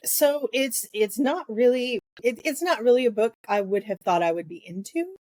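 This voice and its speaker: A woman's voice: a little high, lively intonation, moderate pace, a little mellow, no room sound. Woman, sniffly, podcast co-host, dry close sound, easygoing, hesitant. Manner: easygoing, laughs lightly, hesitant with ums. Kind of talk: casual book discussion chat.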